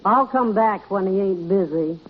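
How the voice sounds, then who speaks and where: An actress playing a plaintive, slow-witted chatty patient in a 1950s radio comedy, drawing out her words. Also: mid-range voice, lightly acted. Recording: muffled, noisy 1950s radio recording.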